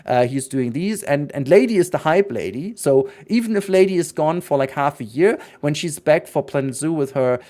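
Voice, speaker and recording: A man's voice: a little high, animated, normal speed, few pauses, dark tone. Earnest non-native English-speaking man streaming. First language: not English. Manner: earnest, rambling, frequent restarts. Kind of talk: casual livestream chat.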